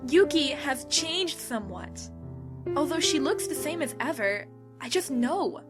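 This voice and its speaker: A woman playing a bossy, suspicious, hot-tempered high school club leader in an amateur anime audio drama, blunt and demanding. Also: lively intonation, measured pace. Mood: accusing. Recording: loud music behind the voice. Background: loud music.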